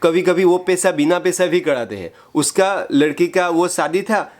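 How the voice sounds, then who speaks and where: Halting, higher-pitched man lecturing earnestly. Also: a little mellow. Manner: halting, repetitive, admonishing. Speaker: host confronting a scammer. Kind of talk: earnest moral lecturing on a phone call.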